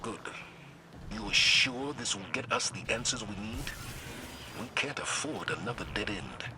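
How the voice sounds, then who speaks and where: A man's voice: lowish voice, expressive, a little slow, noisy background. A man voicing a stern veteran military commander in a video game, speaking firmly and with authority. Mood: grave. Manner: firm, authoritative, clipped. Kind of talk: video game cutscene voice acting. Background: orchestral game score.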